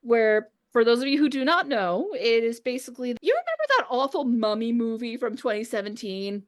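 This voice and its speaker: A woman's voice: on the high side, lively intonation, brisk. Female podcast host and script reader, informal, conversational delivery among friends. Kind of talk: casual chat with friends before a script read-through.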